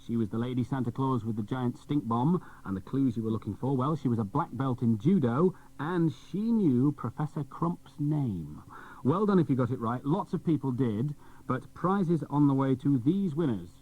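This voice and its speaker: A man's voice: mid-range voice, lively intonation, moderate pace. A man presenting scripted links on a children's radio programme. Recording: muffled, band-limited old cassette radio recording.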